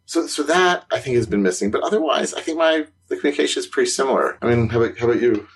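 A man co-hosting a podcast in casual conversation, animated and quick to laugh, his voice climbing when something surprises him. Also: husky. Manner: animated, rising pitch on surprising points, laughs while talking. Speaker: podcast co-host, consultant.

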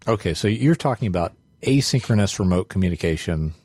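A man with a very deep, husky voice chats on a podcast in a reflective, thinking-aloud way. His sound is dry and close, with no room around it. He leans on small fillers as he works through a point. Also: animated, fairly quick, mellow, no echo. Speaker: podcast co-host, consultant. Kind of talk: casual conversational chat.

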